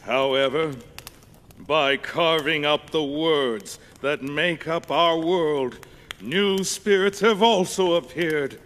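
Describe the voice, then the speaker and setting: A man's voice: a little high, slow, fairly bright tone. An actor playing a grand old skeletal king in a video game, courtly and grave, with formal, theatrical phrasing. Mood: grave explaining. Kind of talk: video game cutscene acting.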